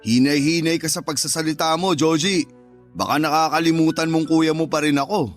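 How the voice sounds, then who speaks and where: An actor playing a firm but considerate older brother in a radio drama. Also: male voice, a little high, fairly even intonation, brisk, soft background music, close to an everyday voice. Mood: stern, scolding. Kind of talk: Tagalog radio drama acting.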